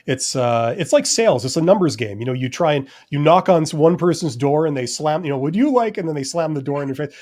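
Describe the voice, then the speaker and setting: A man co-hosting a casual live-stream chat, talking in a relaxed, rambling way with many fillers. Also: on the high side, quick pace, few pauses. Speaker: podcast co-host.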